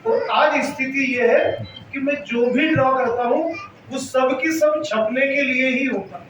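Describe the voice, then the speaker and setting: High-pitched man teaching in an echoey hall. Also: measured pace, music in the background. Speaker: cartoonist teaching a children's drawing workshop. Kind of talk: informal step-by-step drawing instruction. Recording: echoey hall, distant mic, noisy.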